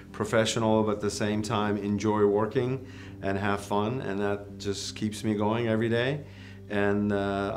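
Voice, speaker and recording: Male surgeon, forties, from Iran, lower pitch, calm earnest profile talk over faint guitar. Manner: measured, earnest, with occasional fillers. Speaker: orthopedic surgeon. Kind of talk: calm, reflective first-person profile talk. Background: faint guitar music.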